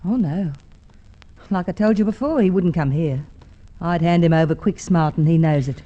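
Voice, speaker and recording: A hard-bitten Australian woman played by an actress, the bitter wife of a crook in an old radio drama. She is offhand and plain-spoken, her tone mellow and the vintage recording dull. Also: lowish voice, normal speed, close to an everyday voice. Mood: offhand, resentful. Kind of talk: golden age radio drama acting.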